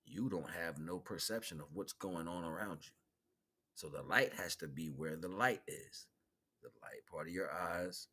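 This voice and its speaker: An American man hosting a livestream, giving an informal spiritual monologue in an animated, colloquial, preaching way with repeated phrases. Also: deep voice, slightly rough. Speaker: livestream host.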